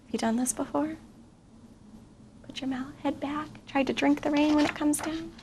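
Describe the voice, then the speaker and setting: A woman's voice: sits high, fairly even intonation, normal speed. A woman reading a picture book aloud expressively to children. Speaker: guest story reader. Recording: faint background noise.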